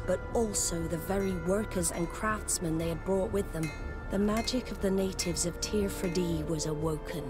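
An actress voices a stern, weathered woman admiral in a fantasy video game. Her voice sits low, and she speaks gravely and deliberately, with music playing behind her. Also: lightly acted. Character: stern, weathered female admiral of secretive seafarers. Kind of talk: scripted video game acting.

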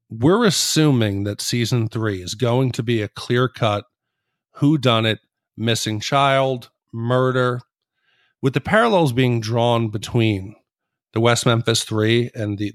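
A man's voice: mid-range voice, a bit bright, dry recording, studio quality. A man hosting a TV recap podcast, talking in an explanatory way as he discusses a show. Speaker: podcast host.

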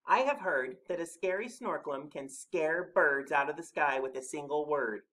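A man's voice: a little high, normal speed, sharp tone, pitched above the performer's own voice. A man voicing an unimpressed storybook rabbit who questions and challenges. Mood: skeptical.

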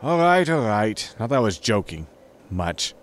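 An actor playing a self-important, easily offended starship captain in a science fiction audio comedy. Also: male voice, on the low side, very animated, a little slow, slight background noise. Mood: wry. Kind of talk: audio sitcom acting.

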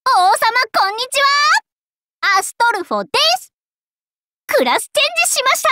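A woman's voice: very high-pitched, sing-song, normal speed, very noisy. A woman voicing a cheerful, scatterbrained androgynous young male knight in an anime game, playful and impulsive. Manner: bubbly, impulsive, playful exclamations. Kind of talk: anime game character acting.

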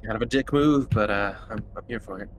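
A man's voice: lowish voice, fairly quick, slight background noise, poor audio. A man chatting casually as a player in a tabletop roleplaying game.

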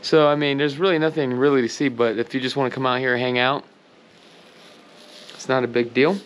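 A man's voice: medium pitch, fairly even intonation, a little fast, fairly bright tone. A man giving a casual, enthusiastic walkthrough of a house for sale, pointing out details as he films on a handheld camera. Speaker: real estate agent giving a home tour. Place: empty house, large bare rooms. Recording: long reverb of empty rooms.

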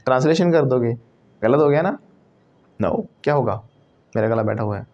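A man's voice: mid-range voice, animated, normal speed, highs cut off. Indian man drilling a class. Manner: teacherly, drilling students with repeat-after-me prompts. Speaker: English trainer. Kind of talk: classroom spoken English lesson.

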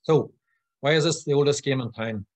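A man's voice: mid-range voice, moderate pace, no room sound. A man in his forties with a Northern Irish accent, presenting conversationally and a little hesitantly as a guest speaker on a video call. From Northern Ireland. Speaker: guest speaker, sales consultant. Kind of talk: informal business presentation. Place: room at home or small office, indoors. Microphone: computer mic on video call.

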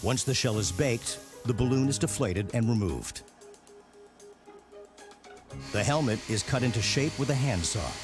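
A man reading scripted television documentary narration in a measured way with dramatic emphasis. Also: mid-range voice, animated. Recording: music behind the voice. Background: background music.

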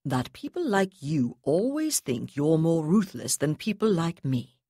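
A woman reading the lines of a calm, contemptuous male naval captain in an audiobook, speaking slowly and evenly. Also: extremely low pitch, breathy edge, dry recording, clean studio sound, exaggerated voice. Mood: coldly calm.

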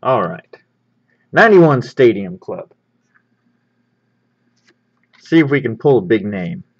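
A man's voice: lowish voice, sing-song, slow pace, husky. A man hosting a casual unboxing video with an upbeat, easygoing manner, talking through what he handles. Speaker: host of a sports card pack-opening show. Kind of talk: casual hands-on unboxing commentary. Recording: slightly dull, lo-fi microphone.

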